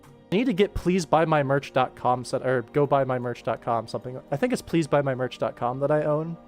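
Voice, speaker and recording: A man's voice: medium pitch, a little fast. A young adult man chatting casually on a livestream while he works, rambling along in a jokey, self-deprecating way. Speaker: animator streaming his work. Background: background music.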